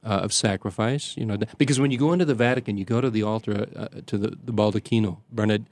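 An older man, a priest from the Chicago area, telling stories in casual conversation, halting often with fillers and restarts. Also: fairly low pitch, steady tone, a little mellow, no room sound. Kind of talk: conversational storytelling.

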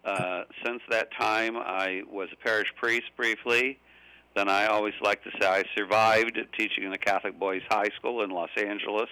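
Casting: older American male priest as radio guest, low but crisp-edged bright tone, matter-of-fact. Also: fairly even intonation, normal speed, no room sound, rough recording. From United States. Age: 70s or older. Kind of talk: conversational radio interview.

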